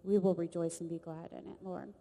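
A woman's voice: on the low side, flat delivery, a little fast, vocal fry. A woman leading a church women's gathering, talking earnestly and conversationally and then praying aloud. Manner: earnest, conversational, warm address to the group. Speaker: ministry leader leading a service. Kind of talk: church service hosting and prayer. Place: chapel or church hall. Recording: long reverb of a large hall.

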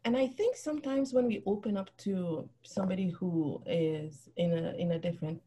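A woman talking earnestly and reflectively as a guest on a casual podcast, pausing often. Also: fairly low pitch, mellow. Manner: earnest, reflective, frequent ums. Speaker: children's book author, guest.

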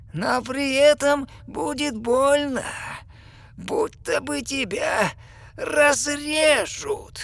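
An actress playing a mocking, sinister sea witch in a verse fairy-tale audio play, with a sneering, theatrical, drawn-out delivery. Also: high voice, no echo, heavily acted. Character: mocking sinister sea witch, gloating over her victim.

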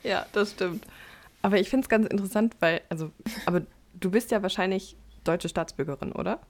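A woman's voice: mid-range voice, fairly quick. A young woman hosting an interview in a casual, conversational way. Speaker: interviewer, host.

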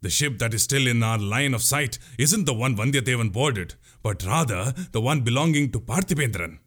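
A man's voice: mid-range voice, expressive, moderate pace, a bit bright. Casting: male narrator as storybook army commander, mid-range voice, sardonic, formal, sceptical. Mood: urgent.

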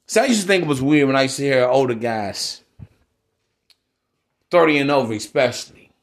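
A man's voice: mid-range voice, animated, fairly quick. A man with an American accent giving an informal, blunt monologue to listeners, slangy and preachy, repeating himself for emphasis. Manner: emphatic repetition. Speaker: podcast host.

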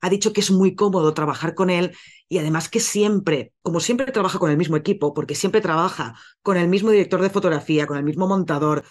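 A man's voice: sits high, fairly quick. Chatty man hosting a film podcast. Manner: chatty, self-correcting, laughs at own slips. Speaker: podcast host presenting a film. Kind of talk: casual film podcast chat.

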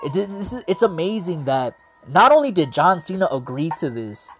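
An excited man, rambling through a wrestling recap and losing his voice as he goes. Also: sits high, moderate pace, soft background music. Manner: hyped, rambling, heavy on "man" and "like". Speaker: wrestling show host. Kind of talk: excited casual commentary.

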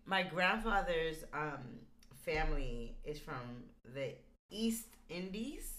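Animated, bright-voiced American woman storyteller. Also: low-pitched, takes it slowly. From United States. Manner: emphatic storyteller, animated, laughs often. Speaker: podcast host. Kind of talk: casual group chat.

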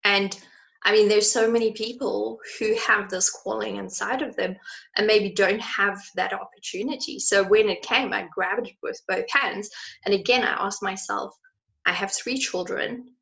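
Gravelly-voiced woman therapist, earnest. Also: mid-range voice, steady tone, fairly bright tone, poor audio. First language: not German. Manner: earnest, thoughtful, measured. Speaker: therapist, podcast guest. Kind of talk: reflective interview answer.

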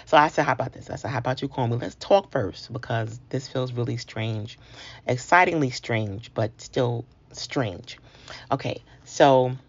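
An American woman from New York City telling a personal story in a conversational, comic way, acting out dialogue, in a husky, gravelly voice. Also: a bass voice, moderate pace. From New York City, United States. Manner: comic, acts out dialogue with exclamations. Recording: dull lo-fi sound.

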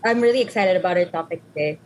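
A woman's voice: on the low side, rapid-fire, a little hiss or hum. A young woman, a student, co-hosting a casual podcast, talking in a friendly, slightly hesitant way. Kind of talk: casual chat.

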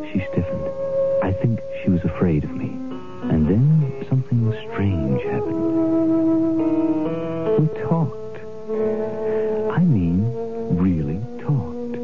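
On a tinny old radio spot with loud plucked guitar, an earnest, reflective everyman. His low voice confides sincerely, with pauses. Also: heavily acted. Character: earnest, reflective ordinary man recalling a roadside encounter. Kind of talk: 1970s dramatized radio public service spot.

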